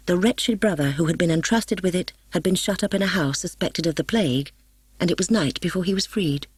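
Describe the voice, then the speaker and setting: A woman reading a story as an audiobook narrator, expressive and solemn. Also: deep voice, husky, no echo. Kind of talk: dramatic scripted story narration. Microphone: close studio microphone, dry.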